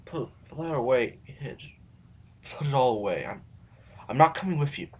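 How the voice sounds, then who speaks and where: A man's voice: medium pitch, lively intonation, moderate pace, a little mellow, breathy edge, muffled recording. A man acting as a reluctant, argumentative intellectual rebel in an audio drama, hesitant and wordy, anxious to survive. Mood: reluctant, uneasy.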